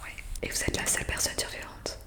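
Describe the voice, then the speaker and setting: A woman doing an ASMR roleplay in a breathy whisper, explaining things politely and hesitantly, close to the microphone. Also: fast. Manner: hesitant, improvised explaining with polite formal address. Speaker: ASMR roleplayer playing a zombie intake worker. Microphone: close USB condenser microphone.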